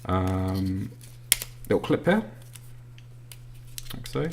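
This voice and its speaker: A British man casually walking through an unboxing, talking as he handles the items with lots of ums and pauses. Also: low voice, background noise. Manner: relaxed, filler-heavy, talks while handling items. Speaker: product reviewer.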